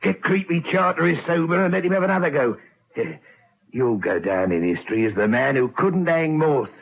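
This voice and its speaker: Cockney jail ringleader: husky, sardonic, jeering at authority, muffled vintage radio sound. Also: male voice, fairly high pitch, lively intonation, normal speed, heavily acted. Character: insolent Cockney convict, mocking lifer and ringleader. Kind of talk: old radio drama acting. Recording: dull lo-fi vintage radio recording.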